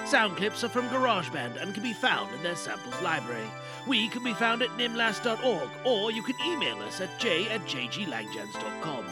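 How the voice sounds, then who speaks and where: A man hosting an audio drama podcast, giving casual, jokey sign-offs and announcements with some theatrical flourish. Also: high voice. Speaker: podcast host and performer.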